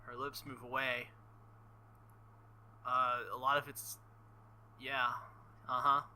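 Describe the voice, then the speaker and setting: Bright-voiced man reading slowly, halting and embarrassed. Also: medium pitch, level tone, slight background noise, rough recording. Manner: halting, embarrassed, breaks into nervous laughter. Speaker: let's play host. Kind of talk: reading a visual novel aloud with casual commentary.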